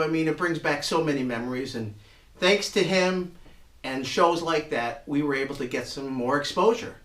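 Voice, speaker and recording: A man's voice: fairly high pitch, a bit bright. A middle-aged or older man, a musician casually introducing an archive recording, with an echoey room sound.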